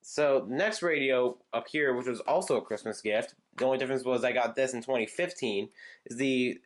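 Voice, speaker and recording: A young man in casual show-and-tell mode, rambling through his gadgets one by one. He halts and restarts often, fills gaps with "uh" and "like," and drifts into offhand asides, all at an ordinary middle pitch. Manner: rambling, halting, with frequent fillers and restarts. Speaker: channel host showing weather radios.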